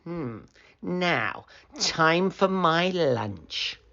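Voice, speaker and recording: Woman voicing a slow, sly, greedy storybook wolf. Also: on the low side, lively intonation, vocal fry, dull audio, pitched above the performer's own voice, heavily acted. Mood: sly scheming. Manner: slow, savoring, falsely soft and coaxing.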